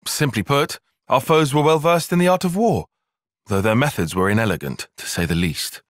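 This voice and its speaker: A man playing a cerebral, earnest young soldier and strategist in a video game, speaking in precise, formal, analytical language and quick to exasperation. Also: medium pitch, animated, dry recording, clean studio sound, close to an everyday voice. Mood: measured, analytical. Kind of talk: video game cutscene voice acting.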